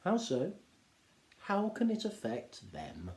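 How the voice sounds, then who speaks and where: A man voicing a wry, teasing middle-aged husband, measured and mock-polite, reading from a classic novel. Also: high-pitched, huge pitch swings, voice pushed up, exaggerated voice. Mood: dry, feigned innocence. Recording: roomy, echoing indoor sound.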